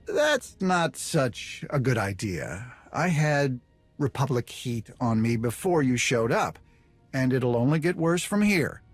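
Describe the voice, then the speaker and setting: An actor playing a nervous, chatty smuggler informant in a video game, with a husky voice and slangy, rambling way of talking. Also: male voice, medium pitch, very animated, slow pace, dry recording. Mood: anxious bargaining. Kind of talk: video game dialogue acting. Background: music.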